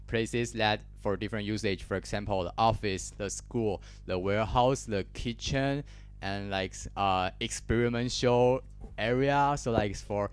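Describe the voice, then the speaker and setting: A man's voice: fairly low pitch, unhurried, smooth. A man in his twenties from Taiwan with a Taiwanese accent, giving a technical talk in a lecture hall on a handheld mic, hesitant with many fillers and restarts. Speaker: conference speaker.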